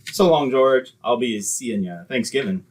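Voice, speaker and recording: A man acting a breezy, streetwise, cowardly schemer in an old-time radio comedy, wisecracking in slangy speech with a husky voice. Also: medium pitch, lively intonation, a little slow, a little hiss or hum, lightly acted. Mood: cocksure, scheming.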